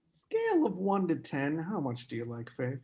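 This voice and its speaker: Man acting a young male snowboarder buddy, very animated intonation, teasing, casual, screenplay read. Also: on the high side, moderate pace, lo-fi sound.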